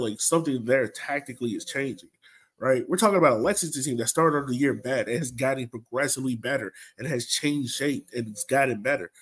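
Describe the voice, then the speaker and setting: Exasperated man with a mid-pitched voice. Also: husky. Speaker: podcast co-host. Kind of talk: casual sports commentary.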